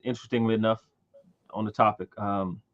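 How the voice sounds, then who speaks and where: A man in his thirties chats on a livestream in a fairly low voice, stumbling and repeating words as he goes. The audio comes through a little muffled and dull. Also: fairly even intonation. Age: 30s. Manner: halting, many repeated words and fillers. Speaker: livestream host. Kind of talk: casual livestream chat.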